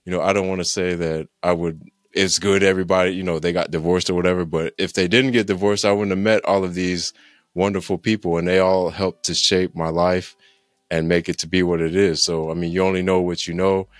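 Deep-voiced man chatting on a podcast. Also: little pitch movement, a little fast, no room sound, clean studio sound. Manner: rambling, laughs often, restarts sentences. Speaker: podcast guest. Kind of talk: casual interview chat. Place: home room, indoors. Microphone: studio mic with headphones, video call.

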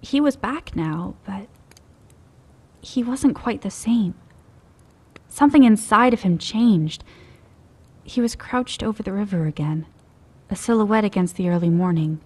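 Pensive woman survivor, audio drama, husky, mid-pitched, measured and troubled. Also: darkish tone. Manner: reflective, measured. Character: thoughtful, troubled young woman survivor recalling a journey.

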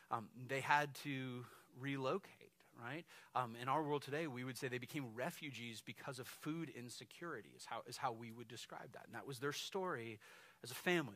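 A man in his forties, a lead pastor, preaching an animated, conversational sermon on stage, often checking in with "right?". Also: mid-range voice, no room sound. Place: stage of a large dark church hall, indoors.

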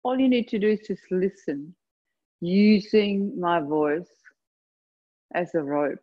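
Female meditation guide, lowish voice, very slow and gentle delivery, spacious pauses, slightly muffled recording. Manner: gentle, unhurried, short phrases with long pauses. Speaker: yoga nidra meditation guide.

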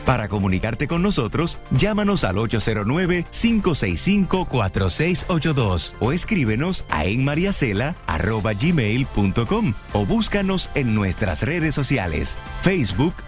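Male announcer, lively read, faint music under. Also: mid-range voice, unhurried, few pauses. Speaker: show announcer. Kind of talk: scripted announcement.

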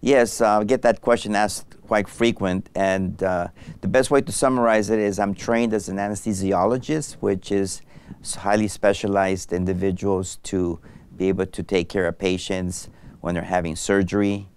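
Deep-voiced middle-aged man, halting. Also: fairly even intonation, darkish tone, clear, resonant tone. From Mexico. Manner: thoughtful, halting with many fillers and restarts. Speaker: anesthesiologist guest. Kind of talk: interview conversation. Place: studio set with armchairs. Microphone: lapel mic.

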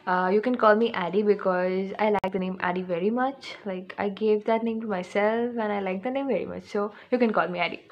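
A teenage girl from India talks straight into a phone camera held close, in a small room at home. Her Indian English is loose and chatty, full of fillers and self-corrections as she wanders from thought to thought. Her voice sits at an ordinary middle pitch. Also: steady tone, brisk, few pauses, lo-fi sound. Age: teens. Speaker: vlogger. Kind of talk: casual first vlog chat to camera. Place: small room at home, indoors, books behind.

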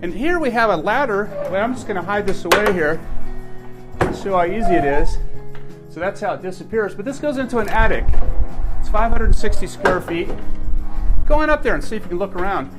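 A man's voice: high voice, lively intonation, fairly quick, over music. An older man chatting enthusiastically as he walks through his house, pointing out its rooms. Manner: chatty, enthusiastic, frequent restarts. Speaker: homeowner giving a house tour. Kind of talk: casual walking tour narration. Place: inside a house, moving room to room. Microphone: camera mic, filmed walking behind him.

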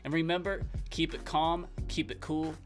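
A young man hosting a YouTube tutorial, speaking straight to viewers in clear step-by-step, upbeat scripted instructions. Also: on the high side, flat delivery, moderate pace. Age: young adult. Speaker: tutorial video host. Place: small indoor room at a desk. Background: faint background music.

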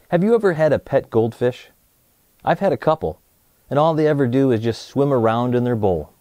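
A man in his thirties presenting and reading a children's storybook aloud in an expressive way, speaking to young listeners. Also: medium pitch, no room sound. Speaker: host of a children's read-aloud show.

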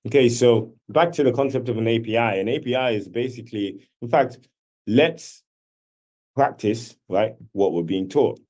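A man's voice: medium pitch, moderate pace, darkish tone. A man hosting an online class over a video call, explaining things casually and often checking in with "right?". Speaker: host teaching an online class. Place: video call with screen sharing.

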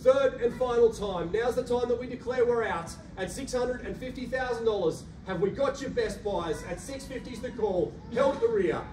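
A man in his thirties with an Australian accent, an auctioneer calling bids in a rhythmic, rapid-fire patter and coaxing buyers in a carpeted function room. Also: extremely high pitch, a little mellow. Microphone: handheld mic, filmed by a far camera. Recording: roomy echo from a distant camera, faint hum.

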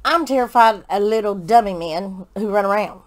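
A middle-aged American woman chatting casually as co-host of a reaction show, expressive and folksy, with blunt exclamations. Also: medium pitch, moderate pace. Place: living room, on a couch. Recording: noticeable background noise and clicks.

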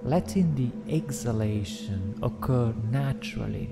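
A man narrating a guided meditation in a gentle, soothing, deliberate way, leaving long pauses between phrases. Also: mid-range voice, animated, creaky. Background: meditation music with singing bowls.

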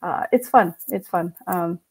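A woman's voice: fairly low pitch, measured pace, gravelly, a little mellow. A woman with an Indian accent talks as a guest on a remote podcast, speaking through a headset from a room at home. She is chatty, laughs often and fills her sentences with "like" and "you know". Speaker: podcast guest, company founder. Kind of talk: casual interview chat. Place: home room, video call. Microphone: headset with mic.

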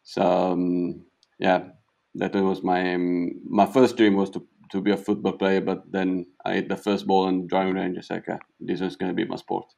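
A young Italian man with a slight South African tinge, a pro golfer answering interview questions in halting English that repeats words. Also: low voice, completely flat delivery, creaky, a little mellow, muffled recording. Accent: Italian, with a touch of South African. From Italy.

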